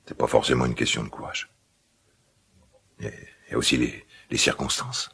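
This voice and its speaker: Middle-aged dad in a radio drama, super low raspy smoker voice, halting, guilty but tender. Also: male voice, big pitch swings, pushed and bright, full character voice. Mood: pained, tender. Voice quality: gravelly, husky smoker's voice. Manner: hesitant, halting, confiding. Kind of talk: naturalistic French radio drama dialogue.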